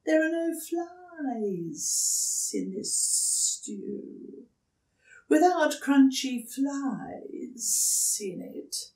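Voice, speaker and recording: Lady doing a scornful old lizard for a kids story, hissy n really slow, roomy. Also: high voice, very animated, warm tone, distant mic, pitched above the performer's own voice, heavily acted. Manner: hissing, drawn-out. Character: scornful, hissing old male blue-tongue lizard.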